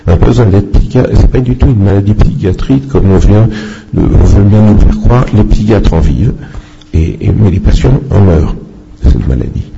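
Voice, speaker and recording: A man speaking off the cuff in a halting, opinionated way as a doctor answering questions at a public talk. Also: on the low side, lively intonation, vocal fry, warm tone. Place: public meeting with microphone. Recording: muffled, noisy public-event recording.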